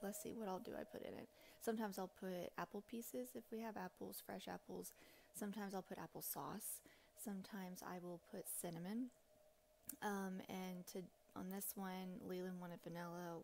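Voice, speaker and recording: A woman's voice: on the low side, a little mellow, slight background noise. A middle-aged woman giving a chatty, rambling, informal voice-over as a home cooking vlogger. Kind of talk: casual instructional voice-over.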